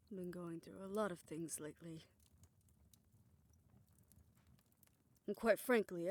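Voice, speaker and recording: Casting: actress for a tired, loving older woman, lowish voice, soft intimate delivery, thoughtful pacing, for romantic audio roleplay. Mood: tired, fond teasing. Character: weary, affectionate older woman confiding in her partner.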